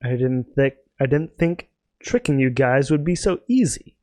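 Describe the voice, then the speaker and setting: A man's voice: mid-range voice, expressive, normal speed, mellow. A man doing let's play commentary, reading game dialogue aloud in a playful, theatrical way with off-the-cuff asides.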